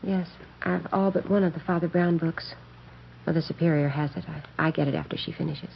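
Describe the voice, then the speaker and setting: An actress playing an earnest, polite, bookish nun in a vintage radio drama, speaking precisely. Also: female voice, deep voice, steady tone, brisk, creaky. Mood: earnest, eager. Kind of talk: 1950s radio drama acting. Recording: muffled, faintly noisy vintage radio recording.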